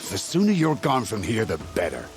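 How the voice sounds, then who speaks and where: Haughty hot-headed war chief in a game, pitch swings all over, music behind. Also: male voice, wildly swooping pitch, brisk. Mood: haughty, scornful. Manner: haughty, sneering, declamatory. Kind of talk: dramatic video game acting.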